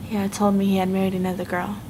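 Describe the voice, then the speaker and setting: An actress playing a flat-toned, frank young woman. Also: mid-range voice, racing, natural voice. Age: 20s. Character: tough 25-year-old woman with a hard past. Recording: noisy indie film audio.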